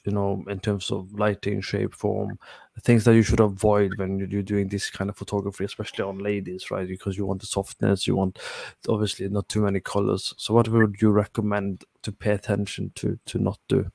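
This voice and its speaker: Guy hosting, low gravelly voice, dry sound, kinda halting with lots of uh. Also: monotone, a little mellow, no echo. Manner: halting, searching for words, "uh". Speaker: host. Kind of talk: interviewing and explaining to viewers.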